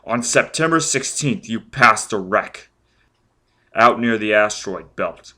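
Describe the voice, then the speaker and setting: A man's voice: on the low side, expressive, measured pace, sharp tone, full character voice. A man voicing a ruthless, vengeful man who barks demands and threats. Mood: hard questioning. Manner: menacing, barking demands. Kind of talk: audiobook character voice.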